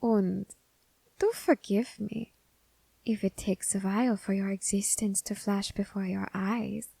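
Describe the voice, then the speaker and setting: Curious, menacing Grim Reaper, voiced by a woman, slow and measured. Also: mid-range voice, steady tone, vocal fry, mellow, slightly rough, no echo. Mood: softly menacing. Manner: measured, formal, deliberate phrasing with pauses. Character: curious, scholarly, quietly menacing Grim Reaper studying a demon. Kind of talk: ASMR character roleplay audio drama.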